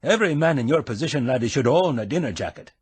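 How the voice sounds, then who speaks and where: Gossipy scottish shopkeeper guy done by a man, high and quick, husky edge. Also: lively intonation, no echo. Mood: teasing. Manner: teasing, gossipy, rolling brogue. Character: chatty male Scottish tailor, gossipy.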